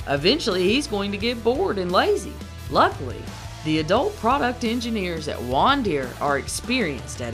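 A woman reading a scripted parody advertisement in an upbeat, salesy voice. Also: lowish voice. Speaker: ad voice-over.

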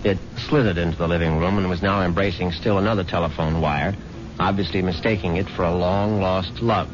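A man's voice: low voice, steady tone, fairly quick, over music, lightly acted. A man acting a wry, jittery, well-to-do philandering husband in a radio drama, with an urbane, theatrical delivery. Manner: urbane, theatrical, stammering when uneasy. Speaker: radio drama actor, narrator-protagonist. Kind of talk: 1970s radio drama acting, first-person narration and dialogue. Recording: dull lo-fi 1970s radio recording.

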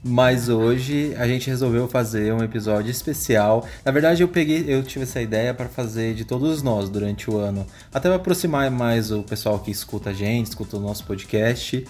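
A man's voice: medium pitch, normal speed, few pauses, a little mellow, soft background music. A man with a Brazilian accent co-hosting a podcast, chatty and steering the conversation. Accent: Brazilian Portuguese. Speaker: podcast co-host. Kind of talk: casual podcast chat.

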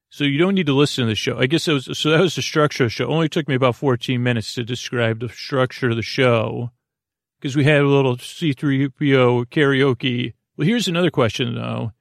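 A man hosting a bedtime podcast in a meandering, hesitant monologue full of stammered false starts and tangents, with a gravelly voice. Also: medium pitch, no room sound, studio quality. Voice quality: gravelly, creaky. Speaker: podcast host.

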